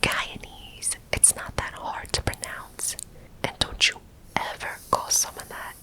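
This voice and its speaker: A woman's voice: takes it slowly, lightly acted, low-quality recording. Woman whispering as a firm protective husband. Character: proud, protective married man.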